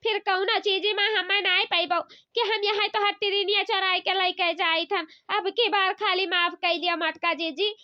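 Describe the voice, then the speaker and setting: A woman's voice: extremely high pitch, fairly even intonation, normal speed, hardly any pauses, dry recording, muffled recording. A woman voicing a pleading, apologetic village woman in an Awadhi comedy cartoon, whining and repeating her pleas in an Awadhi accent. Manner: repetitive begging.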